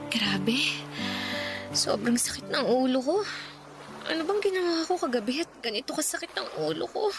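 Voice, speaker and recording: A woman acting as a confused, hungover young woman in a radio drama, asking sharp, suspicious questions. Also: sits high, lively intonation, moderate pace, over music.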